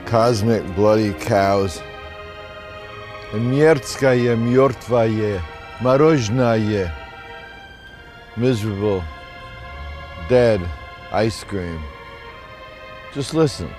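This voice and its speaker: A man in his sixties, a street poet, giving a theatrical poetic monologue that swings from hushed musing to shouting. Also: lowish voice. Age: 60s. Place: outdoors on city streets.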